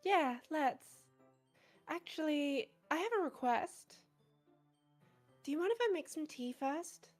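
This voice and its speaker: High, soft, shy bookish schoolgirl. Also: expressive, takes it slowly, pauses often, soft background music. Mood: polite, shy. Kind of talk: visual novel voice acting.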